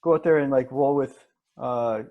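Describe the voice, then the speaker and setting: A man's voice: mid-range voice, normal speed, lo-fi sound. A man in his mid-forties from Canada, a guest in a casual chat, modest and easygoing. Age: 40s.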